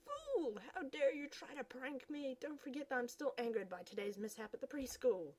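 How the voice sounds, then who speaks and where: A woman's voice: high voice, sing-song, normal speed, a little mellow. A woman voicing a haughty villain who commands a minion and scolds failure. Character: scheming villain master with minion.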